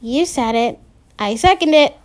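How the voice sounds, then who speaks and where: A woman's voice: sits high, animated, a little slow. A woman voicing a wry teenage girl who teases dryly and gets flustered when caught out. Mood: teasing.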